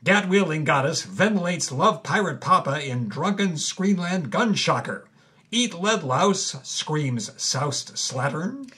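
Husky-voiced man narrating. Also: on the high side, expressive, slow pace, sharp tone. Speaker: co-host narrator. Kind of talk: scripted narration with chatty asides.

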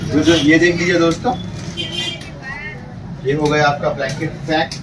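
A man's voice: fairly high pitch, level tone, normal speed, over music. A man in his thirties, a laundry shop owner, casually explaining a task step by step to his viewers as friends, recorded indoors in a small shop. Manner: chatty, keeps addressing viewers as friends. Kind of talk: casual how-to demonstration. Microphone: camera mic at a distance. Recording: distant mic with street and traffic noise.

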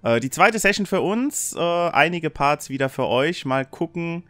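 A man's voice: on the high side, sing-song, moderate pace, fairly bright tone, no room sound. A man casually hosting and game-mastering an online tabletop role-playing stream.

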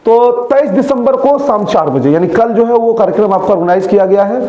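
A man's voice: high-pitched, expressive, a little fast, mellow, echoey room, lo-fi sound. A young man, a coaching teacher, chatting casually to his students and speaking to them directly, filmed indoors in front of a screen.

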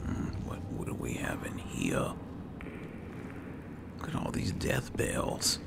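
A man's voice: slow pace, heavy background noise. A man giving casual gameplay commentary, musing to himself and his in-game companions as he roleplays. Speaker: gaming video host.

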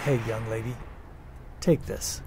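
An actor playing a folksy, patronizing security guard who gives ominous warnings in an audio drama. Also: male voice, mid-range voice, fairly even intonation, unhurried, heavy background noise, natural voice.

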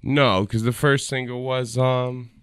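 A man in his thirties with a low-pitched voice, co-hosting a podcast in casual chat and humming beats between points. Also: flat delivery, unhurried, fairly bright tone, clear, resonant tone, no room sound, studio quality. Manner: laid-back, hums beats and raps along. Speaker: podcast co-host. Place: small radio studio. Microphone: studio mic on arm, headphones.

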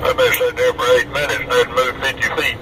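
A man's voice: mid-range voice, wildly swooping pitch, fast talker. Male trucker over very noisy CB radio. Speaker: truck driver. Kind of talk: casual CB radio chatter. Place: truck cab, heard over CB radio speaker. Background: loud engine and road noise.

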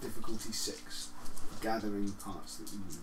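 A man's voice: on the low side, steady tone, moderate pace. A man with a British accent running a tabletop roleplaying game as game master. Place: small living room, group around coffee table. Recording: distant mic, noisy echoey living room.

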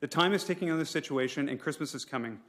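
A man's voice: a little high, fast talker, a bit bright. A man delivering a formal prepared speech as an advocate at a public council meeting, pleading his case and sometimes stumbling over words. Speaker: public speaker for a property owners' association at a council meeting.

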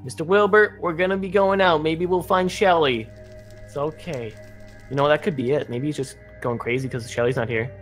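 A slightly high-pitched young survivor son, acted by a man, earnest and practical. He talks things through casually, as in an improvised video game roleplay. Faint music plays behind him. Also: steady tone, moderate pace, close to an everyday voice. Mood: concerned, explaining.

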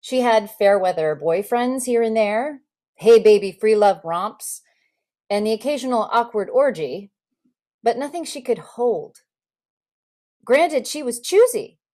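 A woman in her forties, an actor, giving an expressive literary reading on a video call. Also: a little high, unhurried. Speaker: actor reading a memoir excerpt. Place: room with folding screen, indoors. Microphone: video call computer microphone.

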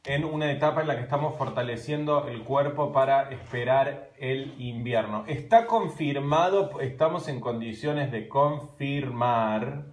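A man's voice: mid-range voice, unhurried, hardly any pauses. Chatty Argentine man in an echoey kitchen. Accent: Argentine Spanish. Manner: chatty, animated, gesturing while talking. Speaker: live stream host. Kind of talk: casual live stream chat. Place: home kitchen at night, indoors. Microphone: phone camera at arm's length. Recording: roomy, echoey room.

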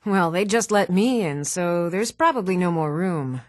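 A woman's voice: lowish voice, lively intonation, normal speed, no room sound, studio quality, lightly acted. An actress playing an eager, proud young warrior woman in a fantasy video game, earnest and spirited. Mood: friendly, brisk.

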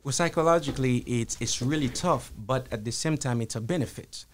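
A man, a podcast guest answering in a measured, earnest way. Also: mid-range voice, slight background noise. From Haiti. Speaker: guest, author. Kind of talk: interview answers. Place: podcast studio, indoors. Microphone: studio mic on arm, headphones.

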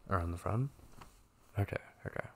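A man playing a tender, devoted young gamer boyfriend in an ASMR roleplay, speaking softly, intimately and hesitantly with a husky voice. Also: low voice, moderate pace, a little hiss or hum. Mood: casual, attentive. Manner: soft, hesitant, intimate, with murmured asides. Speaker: ASMR voice actor.

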